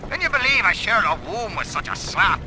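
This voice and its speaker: Drunken British ranter, animated. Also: male voice, sits high, a little fast, very noisy. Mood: scornful, ranting. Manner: ranting, sardonic, slangy. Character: drunken, bitter, foul-mouthed brothel-keeper and former architect. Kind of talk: video game audio diary monologue.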